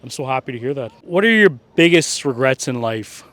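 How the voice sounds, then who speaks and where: A man's voice: medium pitch, lively intonation, a little fast, a bit bright. A man asking questions as a street interviewer, encouraging and often repeating words. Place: outdoors on a city street.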